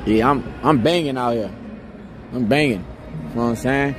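A young American man chatting in slangy, animated banter as a live streamer out on a city street. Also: mid-range voice, moderate pace. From Newark, New Jersey, USA. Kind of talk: casual street banter on a livestream. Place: city sidewalk at night, outdoors. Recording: outdoor street livestream with music behind.

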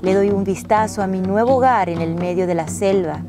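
A woman's voice: mid-range voice, fairly quick, over music. A woman reading a scripted travel narration in the role of narrator and traveller.

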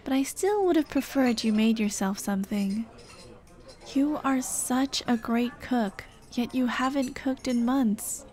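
A woman playing a tender, playful late girlfriend in an ASMR roleplay, speaking in a gentle, coaxing, teasing way. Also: a little high. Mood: fond, teasing. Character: tender, playful dead girlfriend comforting her grieving partner. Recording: clear ambient noise behind. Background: ambient sound effects.

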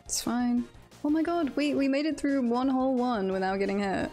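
A woman's voice: high voice, normal speed, darkish tone, soft background music. A woman streamer giving casual live game commentary, thinking aloud and chattily repeating phrases to herself. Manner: chatty, self-talking, often repeats phrases.

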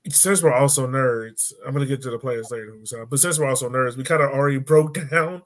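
A man in his thirties with a mid-pitched voice hosts a sports podcast from home over a video call. He is informal and conversational, tossing questions to his co-hosts. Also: a little fast. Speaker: podcast host. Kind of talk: casual sports chat. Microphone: video call microphone.

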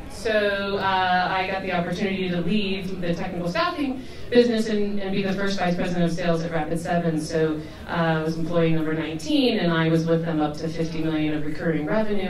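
A middle-aged woman moderating a conference panel, upbeat and energetic, laughing easily. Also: fairly low pitch. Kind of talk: panel introduction. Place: conference room, with audience. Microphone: handheld mic, heard from afar. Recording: echoey room, distant mic, audience.

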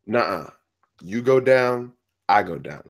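A man's voice: lowish voice, expressive, takes it slowly, no room sound, studio quality. A man playing a tough, protective getaway driver who talks in clipped street slang at a script read. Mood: urgent, steadying. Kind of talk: script table read acting.

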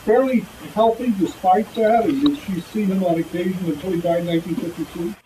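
A man in the audience at a club meeting asks his questions in a hesitant way, stumbling over fillers. His voice is on the higher side, and clear room noise sits behind him. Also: a little fast, mellow, low-quality recording. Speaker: audience member asking questions.

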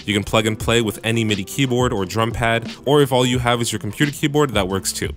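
A man with a bassy voice casually narrating a home recording tutorial, with joking asides, on a studio mic in his home room. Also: quick pace, a bit bright. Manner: laid-back, joking asides. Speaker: music producer and YouTube tutorial host. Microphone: studio microphone through audio interface.

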